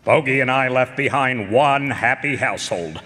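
A man's voice: medium pitch, moderate pace, sharp tone, slight background noise, natural voice. A man playing a wry, contented private eye, narrating and talking in noir radio drama style. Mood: satisfied, reflective. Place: performance hall stage. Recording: reverberant concert hall stage.

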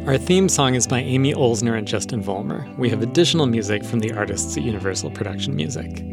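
A man's voice: medium pitch, quick pace, creaky. Male public radio host over music. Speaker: radio show and podcast host. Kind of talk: public radio hosting, conversational scripted narration.

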